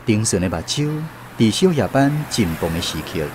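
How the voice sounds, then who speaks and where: A man's voice: lowish voice, big pitch swings, moderate pace, noisy background. A man with a Taiwanese accent narrating a scripted literary radio drama.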